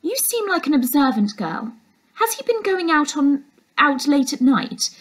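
A woman's voice: high-pitched, sing-song, measured pace, pitched above the performer's own voice. A woman reader puts on a prying middle-aged housekeeper from a storybook. She wheedles in a raised, swooping voice, all false sweetness and insinuation. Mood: feigned concern, prying.

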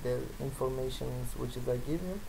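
A gravelly-voiced presenter who speaks English as a second language. The delivery is halting, moving through slide text with short asides. Noticeable noise sits behind the voice in an amateur recording. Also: medium pitch, mellow, low-quality recording. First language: not English. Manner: halting, non-native phrasing.

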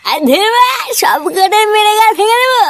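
A rebellious cartoon lad who feels used and says so loudly. The voice is extremely high and squeaky, rattling along quickly in sweary rants. There is faint noise behind it. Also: expressive. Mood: angry. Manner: ranting, swearing constantly. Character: foul-mouthed, rebellious, put-upon boy Futo, loudly griping. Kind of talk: comedy cartoon dialogue.

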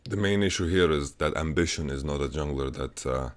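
A young man in his twenties with a slightly hoarse voice, giving an esports match preview in a measured way with many filler words, recorded on a headset in a small bedroom. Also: rumbling low. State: voice getting hoarse. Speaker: esports analyst. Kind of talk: solo match preview to camera.

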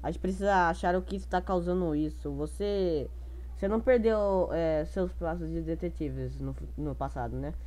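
A woman's voice: fairly low pitch, moderate pace, warm tone. A woman with a Brazilian accent giving casual, slangy gameplay commentary as a gaming YouTuber, chatting and thinking aloud.

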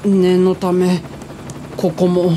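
A woman playing a feeble, airsick man in a radio drama, queasy and halting in broken phrases. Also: on the low side, monotone, noisy background. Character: helicopter-sick, feeble older brother struggling to talk.

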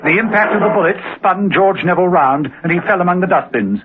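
Animated male radio-drama narrator. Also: sits high, quick pace, background noise. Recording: lo-fi old radio recording.

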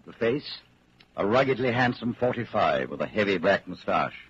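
An actor playing a grave narrator of a bleak dystopian tale, with dramatic, deliberate delivery in 1940s radio style. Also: male voice, fairly low pitch, sing-song, husky, exaggerated voice. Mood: grave, ominous. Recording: muffled, noisy 1940s radio broadcast.

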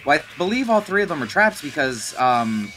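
A man's voice: a little high, big pitch swings, normal speed, low-quality recording. A man making wry asides in casual banter during a gameplay fan dub. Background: game music.

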